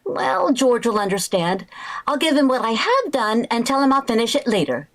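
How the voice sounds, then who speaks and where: An actress playing a sarcastic housewife who makes dry wisecracks, in vintage radio sitcom style. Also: female voice, on the high side, big pitch swings, normal speed, poor audio. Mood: chatty, exasperated. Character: sarcastic young housewife bristling at her mother-in-law.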